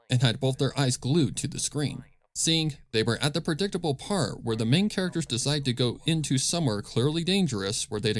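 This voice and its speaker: Man narrating a story, medium pitch. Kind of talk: scripted fan fiction story narration.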